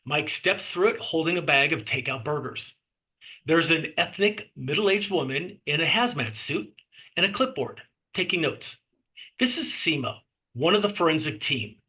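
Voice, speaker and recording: A man narrates screenplay stage directions at a table read. His voice sits a little high for a man and has a bright, forceful edge. The delivery is that of scripted narration. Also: animated, moderate pace.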